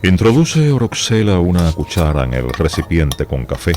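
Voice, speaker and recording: A man's voice: low voice, big pitch swings, moderate pace, a little mellow. A man narrating a radio drama from a script in a dramatic style. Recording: music behind the voice.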